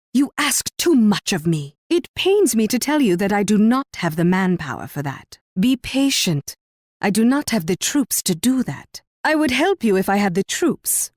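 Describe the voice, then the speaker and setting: A woman's voice: fairly low pitch, no room sound. The imperious Spanish monarch of a strategy game, issuing commands from her throne in sweeping, melodic condescension, recorded bone-dry. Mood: haughty, dismissive. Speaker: video game character voice actor. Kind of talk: scripted strategy game voice lines.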